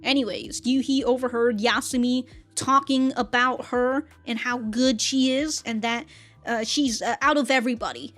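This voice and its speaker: A woman hosting a casual anime reaction and review, speaking in an animated, exclamatory way and often chuckling as she talks. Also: on the high side, moderate pace, soft background music. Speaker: reaction video host.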